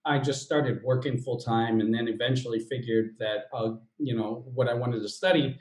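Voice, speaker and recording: A man from the United States hosting an interview, talking in a relaxed, easygoing way with fillers. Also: mid-range voice, moderate pace. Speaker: host. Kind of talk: casual interview chat. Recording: echoey room.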